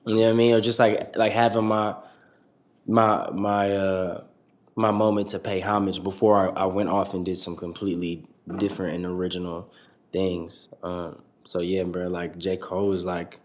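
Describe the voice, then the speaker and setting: A young man with a low, deep voice that stays flat and even as he talks. He rambles loosely through a casual interview, swearing freely and leaning on filler words. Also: moderate pace. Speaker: rapper guest.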